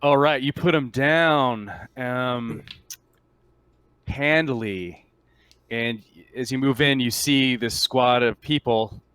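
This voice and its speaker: A man's voice: mid-range voice, slow pace, fairly bright tone, smooth, dry recording. A man talking casually as game master during a tabletop roleplaying session. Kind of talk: casual gaming talk.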